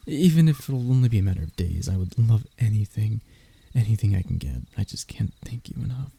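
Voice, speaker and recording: A man playing a lovesick young royal heir in an intimate romance roleplay, stammering and hesitant, with a husky, breathy voice. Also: fairly low pitch, sing-song, fairly quick, warm tone, heavily acted. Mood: stunned, overjoyed. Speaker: voice actor in audio roleplay.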